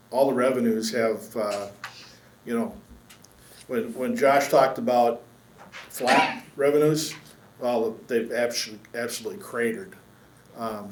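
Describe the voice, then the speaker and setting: A man chairing a county board meeting, speaking in a measured way with many fillers and restarts. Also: mid-range voice. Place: council chamber. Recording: echoey meeting room with faint hum.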